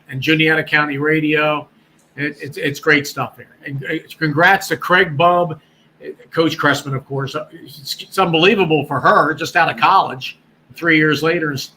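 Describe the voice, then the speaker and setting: A talkative man in his sixties rambles through his news as a call-in guest, full of restarts. His voice is bright and a bit raised in pitch, and faint noise sits under the line. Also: poor audio. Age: 60s. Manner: rambling, chatty, many restarts. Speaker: guest, former radio broadcaster. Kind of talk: casual chat on a call-in show.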